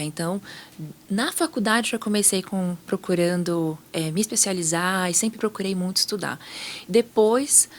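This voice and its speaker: A woman's voice: lowish voice, slight background noise. A woman in her thirties with a northern Brazilian accent, talking casually as an interview guest. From Manaus, northern Brazil. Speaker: podcast guest, hospital pharmacist.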